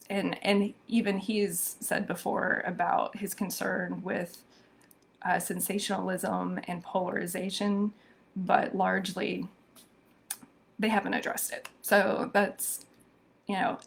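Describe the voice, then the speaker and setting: A woman hosting a live stream talks slowly in a lowish, gravelly voice that sounds hoarse today. She works her way through the news thoughtfully, with many ums and restarts. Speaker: social media show host. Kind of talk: live-streamed commentary on social media news.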